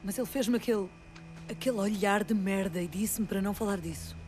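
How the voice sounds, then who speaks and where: Woman playing fast-talking Portuguese game soldier. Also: mid-range voice, darkish tone, slight background noise, close to an everyday voice. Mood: terse, impatient. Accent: European Portuguese. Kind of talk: dubbed video game drama.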